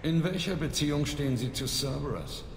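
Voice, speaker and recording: An actor playing a stern, self-assured military commander in a video game, speaking firmly. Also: male voice, a little high, animated, brisk, gravelly. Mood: wary, businesslike. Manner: firm, questioning. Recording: echoey hall, faint ambient noise.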